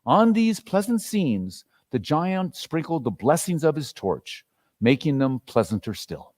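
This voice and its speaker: A man's voice: fairly high pitch, very animated, measured pace, darkish tone, long reverb tail. A man narrating a classic story as a dramatic reader.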